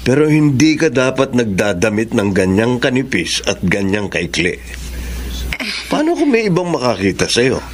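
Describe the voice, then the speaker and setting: Man acting decent, earnest husband, animated delivery. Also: mid-range voice, normal speed, a little hiss or hum, close to an everyday voice. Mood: awkward, reproving. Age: 30s. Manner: earnest, polite, hesitant when uneasy. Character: decent, faithful husband and boss, thirty-eight. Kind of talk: melodramatic audio drama acting.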